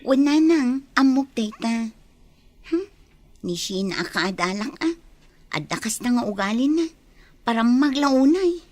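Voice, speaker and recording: A woman's voice: on the high side, lively intonation, unhurried, pauses often, a little mellow, no echo. A woman with an Ilocano accent playing a cheerful, obedient young village daughter in a radio drama. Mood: obedient, eager.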